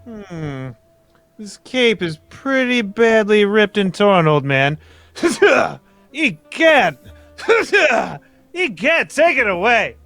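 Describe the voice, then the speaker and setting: A man playing an eccentric, pompous, excitable sidekick with affected, quaint exclamations, in old-time radio drama style. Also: high-pitched, very slow, no room sound, soft background music, full character voice. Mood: excited. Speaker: amateur voice actor in live table read.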